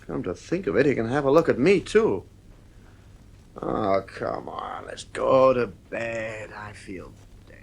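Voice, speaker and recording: A man's voice: mid-range voice, animated, slow pace, vocal fry, slightly rough, full character voice. A man playing a stern, exasperated middle-aged father in a science fiction audio drama, commanding and irritable.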